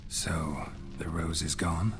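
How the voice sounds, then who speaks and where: A deep, gravelly monster hunter. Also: male voice, takes it slowly, music in the background. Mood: guarded, probing. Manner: terse, restrained, deadpan questioning. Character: stoic, low-voiced male monster hunter, middle-aged. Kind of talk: dramatic video game dialogue.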